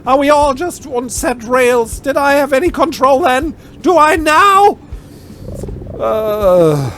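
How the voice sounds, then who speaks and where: A man's voice: on the high side, fairly bright tone, a little hiss or hum. A man with a German accent doing a let's play, reading game text aloud and adding wry, ironic asides. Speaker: let's player.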